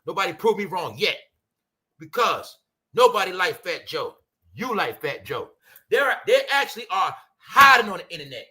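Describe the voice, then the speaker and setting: A man's voice: sits high, big pitch swings, moderate pace, sharp tone. A man from Brooklyn, ranting profanely on a livestream and calling out to his audience. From Brooklyn, New York. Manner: heated and profane, keeps addressing the audience with "y'all" and "bro". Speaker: livestream host.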